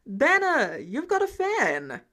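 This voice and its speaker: A woman's voice: high voice, wildly swooping pitch, slow, sharp tone, full character voice. A shrewd billionaire inventor in an audio story, put on by a woman reader. He is breezy and showy, with a light, friendly delivery.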